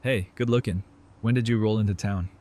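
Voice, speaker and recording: A man's voice: fairly low pitch, fairly even intonation, fairly quick, a little mellow, close to an everyday voice. A man playing an easygoing, flirty doctor who banters casually in an audio drama. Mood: teasing, easygoing. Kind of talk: fan audio drama dialogue.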